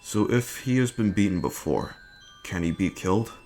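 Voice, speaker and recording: A man acting an earnest, reserved, homesick young operative in a dramatic reading, with measured, formal phrasing. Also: low-pitched, animated. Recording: loud background music. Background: loud music.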